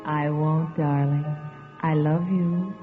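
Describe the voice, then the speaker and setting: Actress doing a doting young woman, drawn-out slow words, old radio play, music behind. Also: sits low, fairly even intonation, narrow, tinny audio. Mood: adoring. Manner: cooing, adoring. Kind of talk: 1940s radio acting.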